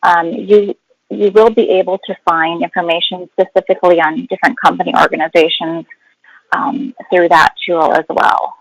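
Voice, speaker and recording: A woman's voice: fairly low pitch, level tone, moderate pace, no room sound, poor audio. A woman speaking as a guest HR expert, explaining in an instructive webinar style with emphasis on key words.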